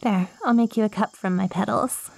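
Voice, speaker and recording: A woman's voice: mid-range voice, steady tone, normal speed, gravelly, darkish tone, dry recording, rough recording. A woman playing an ancient, lonely plant girl in an ASMR roleplay, clingy and curious, with quirky, wordy, theatrical phrasing. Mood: proud, offering. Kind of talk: ASMR roleplay, acted monologue to the listener.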